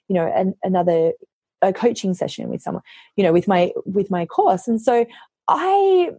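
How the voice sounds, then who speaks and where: A woman author, hoarse from losing her voice, chatting casually on a podcast and telling lively stories, often quoting herself. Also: mid-range voice, normal speed, no echo, clean studio sound. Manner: animated storytelling, quotes herself.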